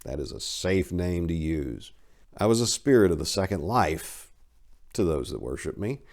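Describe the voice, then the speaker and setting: A man reading as a solemn fallen angel, deep and gravelly. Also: darkish tone, heavily acted. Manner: measured, earnest, solemn. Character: ancient fallen angel, calm and pleading. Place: study lined with bookshelves. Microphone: lapel mic.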